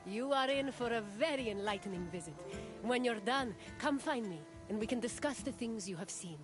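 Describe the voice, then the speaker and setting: A woman's voice: a little high, lively intonation, normal speed, close to an everyday voice. An actress playing a stately female tour guide of ancient Athens, speaking in a grand, formal, welcoming way in scripted game dialogue. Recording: loud game music behind.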